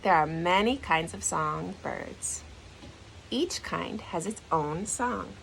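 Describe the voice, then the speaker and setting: Expressive, unhurried woman reading a storybook. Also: lowish voice, gravelly, a little hiss or hum. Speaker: teacher reading aloud. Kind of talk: children's storybook read-aloud.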